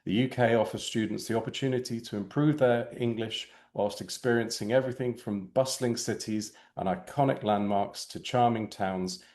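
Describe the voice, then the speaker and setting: A man's voice: medium pitch, a little mellow. A man hosting a podcast interview, reading scripted intros in a polished manner and handing over to guests. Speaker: podcast host.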